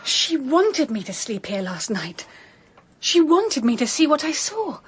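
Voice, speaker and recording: Frightened Victorian gentlewoman, theatrical radio drama, intense, very animated high voice. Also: unhurried. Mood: shaken, insistent. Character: frightened, insistent Victorian gentlewoman recounting horrors. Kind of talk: dramatic radio play acting.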